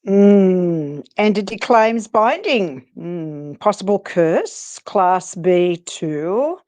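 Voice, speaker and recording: Unflappable female psychic investigator, read by a woman, wry and flirty, unhurried, paranormal romance drama. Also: on the low side, expressive, a little mellow. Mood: dry, flirty. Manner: sassy, wry, deliberate delivery.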